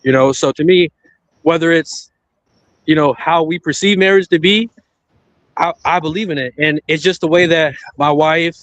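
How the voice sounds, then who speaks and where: Married male panelist, rambling and casual, fairly high pitch, dry close mic. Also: normal speed, a bit bright, no echo, poor audio. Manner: rambling, repeats "you know what I mean".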